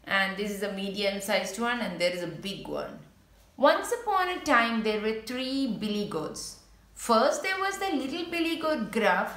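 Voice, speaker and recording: A woman's voice: a little high, a bit bright, reverberant. A woman reading a picture book aloud to young children, telling the story and pointing out the pictures as she goes. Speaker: children's story reader and channel host.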